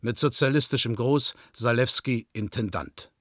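A stern East German theatre boss played by an actor delivers an official letter in a formal, officious manner. The voice is a mid-pitched man's, recorded dry and close, as in a radio play. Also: moderate pace, a bit bright, dry recording, lightly acted. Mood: cold, officious. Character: stern, bureaucratic East German male theatre director issuing a dismissal. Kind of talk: radio drama, official letter read aloud.

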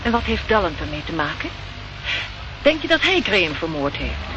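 A woman's voice: fairly low pitch, big pitch swings, normal speed. An actress playing a detective's eager, inquisitive female companion in a Dutch radio play, chatty and full of questions. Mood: curious. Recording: noisy, muffled old radio recording.

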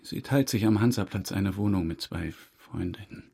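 An actor playing a defensive, wounded murder suspect in a crime drama, halting, self-pitying and insistent on his honesty. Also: low-pitched, vocal fry, warm tone, breathy edge, full character voice. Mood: halting, dejected. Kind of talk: German crime radio drama dialogue.

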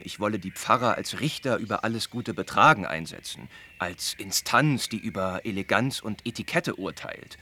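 An actor playing an earnest, courteous young gentleman bound for the church, speaking formally in a radio drama. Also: medium pitch, slightly rough. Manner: formal, courteous period speech.

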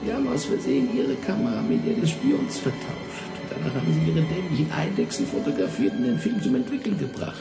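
An actor playing a fat, condescending French intelligence official with a thin, hoarse voice in a radio drama. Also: male voice, a little high, sing-song, brisk, few pauses, music in the background. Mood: stern, contemptuous.

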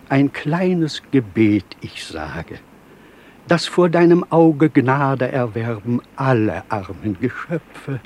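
An actor in a radio play playing a gentle, devout village reverend who recites verse prayers reverently. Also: male voice, mid-range voice.

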